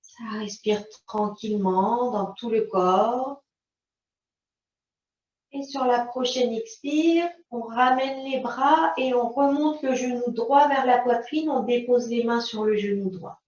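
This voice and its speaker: A woman teaching yoga, giving calm, unhurried guidance in short phrases. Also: a little high. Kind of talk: guided yoga and relaxation instruction. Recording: roomy, distant mic, dull sound.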